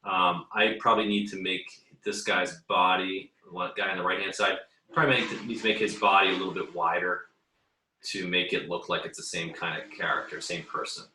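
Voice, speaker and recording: A man giving a casual, unscripted tutorial as an art teacher, haltingly thinking aloud as he works. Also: low voice, fairly even intonation, pushed and bright, slight background noise. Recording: echoey, roomy sound.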